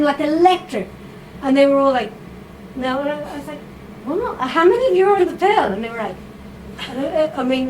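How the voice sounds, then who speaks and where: A woman with a fairly high voice talks to a live audience in a chatty, lively way. She fills her talk with asides, fillers and little "okay?" checks. Noise is clearly audible behind her. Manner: chatty, animated, with frequent fillers and tag questions. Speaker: writer giving a reading.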